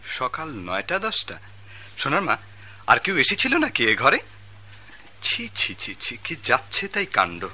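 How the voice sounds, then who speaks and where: An actor playing a spoiled rich young son in a radio drama, flustered and defensive. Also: male voice, mid-range voice, huge pitch swings, measured pace, long pauses, pushed and bright, slightly rough, exaggerated voice.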